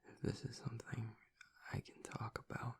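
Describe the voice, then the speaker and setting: A man playing a guarded, vulnerable, grieving werewolf boyfriend in an intimate audio roleplay, speaking in a halting, breathy, gravelly whisper. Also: lightly acted. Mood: guarded, reluctant. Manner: halting, reluctant, haltingly confessional.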